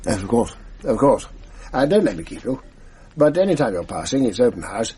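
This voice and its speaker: An actor playing a genial, chatty retired schoolmaster, older, in a 1980s radio drama. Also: male voice, medium pitch, sing-song, moderate pace, mellow, a little hiss or hum, close to an everyday voice. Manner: genial, chatty, old-fashioned.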